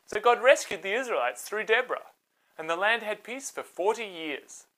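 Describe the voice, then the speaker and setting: Casting: female children's storyteller, mid-pitched, highly animated, dramatic scripted Bible narration. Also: normal speed, poor audio. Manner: dramatic, expressive.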